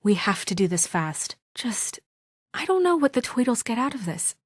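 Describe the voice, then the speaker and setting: A woman's voice: fairly high pitch, big pitch swings, normal speed, dry recording. An earnest, guarded young woman, dry close recording. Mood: determined, then hesitant, uncertain. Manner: earnest, hesitant in confidences, firm when challenged. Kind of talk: naturalistic video game drama acting.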